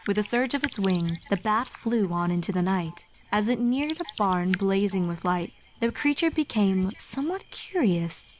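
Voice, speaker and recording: A woman's voice: mid-range voice, animated, normal speed, few pauses, slight background noise, rough recording. A woman reading a scripted story as a dramatic narrator. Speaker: fan fiction narrator. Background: music under the reading.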